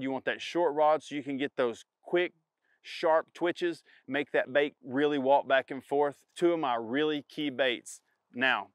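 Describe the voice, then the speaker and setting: A middle-aged man, a professional bass angler, explains his fishing setups to camera outdoors in the woods in a confident, emphatic way, stressing and repeating his key points. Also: no echo, studio quality. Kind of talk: instructional product demo to camera. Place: outdoors in a spring woodland.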